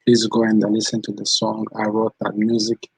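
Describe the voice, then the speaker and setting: A man's voice: lowish voice, completely flat delivery, moderate pace, creaky, slightly rough, no echo, rough recording. A man from Rwanda, hosting a live stream, chatting casually and answering viewers' comments as they come in. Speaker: channel host.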